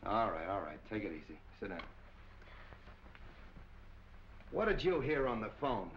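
A man's voice: on the low side, very animated, fairly quick. An American man playing a middle-aged, short-tempered police captain in a 1940s film, barking orders impatiently. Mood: brisk, questioning. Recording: muffled, noisy old 1940 film soundtrack.

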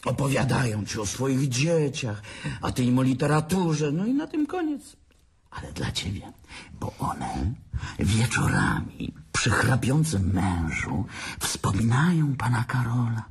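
Husky, mocking, bitter tipsy man. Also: mid-range voice, huge pitch swings, full character voice. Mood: mocking, taunting. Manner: sarcastic, taunting, chuckling, halting. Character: mocking, bitter, tipsy divorced man needling his brother. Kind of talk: dramatic radio play acting.

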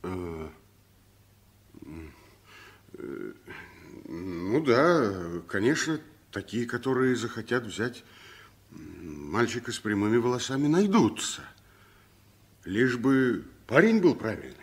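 An actor playing a good-natured, plain-spoken wandering tramp in a 1960s radio play, folksy and expressive. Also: male voice, mid-range voice, slow, pauses often. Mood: probing.

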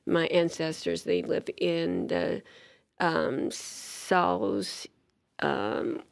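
A woman's voice: fairly low pitch, very animated, extremely slow, gravelly. A middle-aged woman from Taiwan, a university dean being interviewed, speaking thoughtfully with many fillers and restarts on a studio mic. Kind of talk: interview conversation. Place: small bright indoor studio with sofa. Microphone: studio mic on stand.

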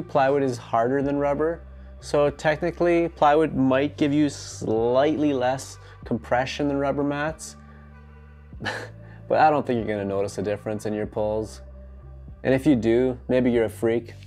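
A man's voice: medium pitch, a little mellow. A man in his thirties giving casual instructions to camera, with jokey, tongue-in-cheek asides. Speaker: host of a home gym DIY channel. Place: garage home gym, indoors. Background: music.

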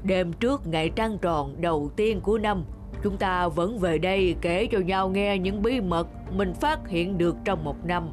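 A woman voicing a talking forest animal in an animated fairy tale, explaining things in a measured storytelling way. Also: on the low side, close to an everyday voice. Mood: authoritative. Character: talking forest animals sharing secrets at a meeting. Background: music.

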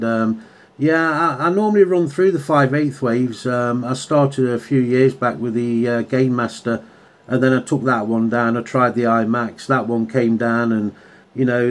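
A man with a mid-pitched voice makes casual radio contact as a hobbyist. He is chatty and slips easily into radio jargon. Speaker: radio hobbyist and video maker. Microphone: handheld radio microphone.

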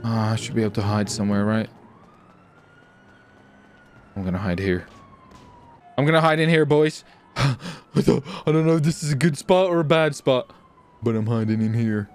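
Excitable gamer guy, pitch jumps all over, swears a lot, faint music behind. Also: moderate pace, long pauses. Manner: excitable, repeats phrases, swears freely. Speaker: video host and roleplay gamer. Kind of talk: casual chat while playing a crime roleplay game.

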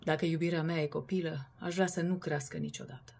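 A woman with a breathy, husky voice giving an expressive recitation of poetry. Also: deep voice. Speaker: poetry reader.

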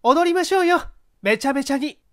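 Man voicing a high-pitched, coquettish young actress. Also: animated, fairly quick, no echo, studio quality. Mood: excited. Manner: coquettish, feminine phrasing.

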